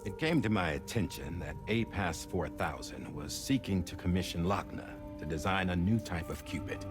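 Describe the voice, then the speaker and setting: An actor playing a calm, commanding former director in a video game cutscene, speaking in a measured, theatrical way. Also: male voice, low-pitched, music in the background, lightly acted. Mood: grave, explaining. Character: calm, commanding male ex-director revealing a secret plan.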